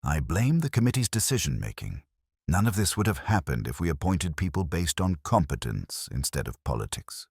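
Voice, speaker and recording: A man doing a sour, deep-voiced committee woman. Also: sing-song, normal speed, darkish tone, slightly rough, no room sound, studio quality, heavily acted. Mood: sour, accusing. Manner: sniffy, retorting. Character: sour, blunt, grievance-nursing committee woman.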